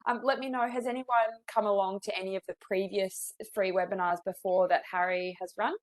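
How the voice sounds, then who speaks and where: A woman's voice: mid-range voice, steady tone, brisk. A woman with an Australian accent, a psychologist in her thirties, leading a webinar in a friendly, chatty way with many fillers. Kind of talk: webinar presentation. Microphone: video call.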